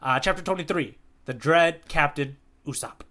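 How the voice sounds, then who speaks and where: Man, podcast co-host, casual chat, sharp bright tone, fairly high voice. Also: normal speed.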